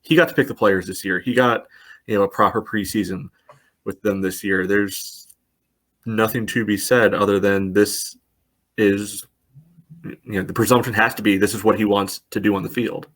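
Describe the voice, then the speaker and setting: A man co-hosting a casual sports podcast, dry and wry, reeling off stats at a steady clip. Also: on the low side, normal speed. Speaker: podcast co-host.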